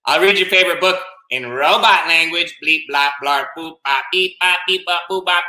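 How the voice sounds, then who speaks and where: A man voicing a mischievous, eager male octopus from a picture book, with a showy, drawn-out delivery. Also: fairly high pitch, pushed and bright, reverberant, voice pushed up. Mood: playful. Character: eager, mischievous male octopus best friend, playful prankster.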